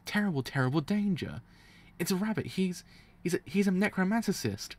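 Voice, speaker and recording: A man's voice: high-pitched, expressive, a little fast. A man voicing an anxious, earnest female chicken fighter who commands birds, pleading with urgency in a story reading. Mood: pleading, urgent.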